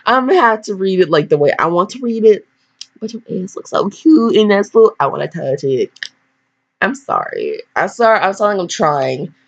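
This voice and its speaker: Teen girl, lower-pitched but very animated, goofy rambling reader, muffled lo-fi sound. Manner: goofy, self-deprecating, easily sidetracked. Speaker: fan fiction reader. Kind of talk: casual reading aloud with rambling commentary. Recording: dull lo-fi microphone.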